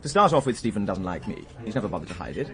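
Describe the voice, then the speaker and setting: Deep-voiced suave English cynic. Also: male voice, sing-song, rapid-fire, a crowd in the background. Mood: amused, cynical. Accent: upper-class English. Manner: urbane, drawling wit, mocking. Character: suave, cynical gentleman, war survivor, teasing a lady friend. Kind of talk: radio drama acting.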